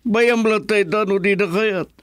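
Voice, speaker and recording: An actor playing a wealthy older suitor, smitten and theatrical, in an Ilocano Filipino radio drama. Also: male voice, high voice, steady tone, unhurried, clear, resonant tone, no room sound. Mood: wooing.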